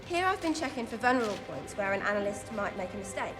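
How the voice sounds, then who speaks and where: An actress playing a bright, curious young codebreaker with a wry manner in a wartime audio drama. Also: female voice, mid-range voice, sing-song, fast, over music, close to an everyday voice. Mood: focused. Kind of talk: period radio drama acting.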